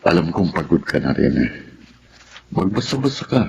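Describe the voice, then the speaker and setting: A man's voice: deep voice, sing-song, moderate pace, gravelly, highs cut off, noisy background, heavily acted. A man acting in a radio drama as a patient, caring middle-aged Filipino father, with a Filipino accent. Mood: gentle, consoling.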